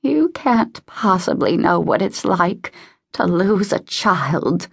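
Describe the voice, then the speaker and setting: Narrator lady doing a cross bossy old woman from a storybook, gravelly n fussy, very emphatic. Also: fairly low pitch, animated, takes it slowly, full character voice. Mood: bitter.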